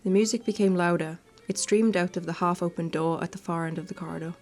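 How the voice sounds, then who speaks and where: A woman's voice: fairly low pitch, steady tone, fast, a little mellow. A woman doing casual, playful gaming commentary and reading game text aloud, chatty and informal. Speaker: gaming video host. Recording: music playing behind the voice. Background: background music.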